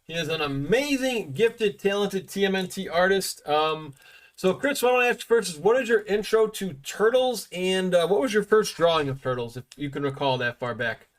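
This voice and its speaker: A man's voice: high voice, expressive, normal speed, poor audio. A man hosting a casual podcast chat, talking enthusiastically with fillers and restarts. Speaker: podcast host.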